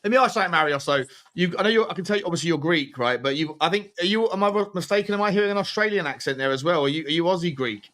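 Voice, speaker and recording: A man's voice: fairly high pitch, animated, very fast, hardly any pauses, fairly bright tone. A man hosting a casual football talk show, matey and blunt, often rephrasing his questions as he goes. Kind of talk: casual chat, questioning callers.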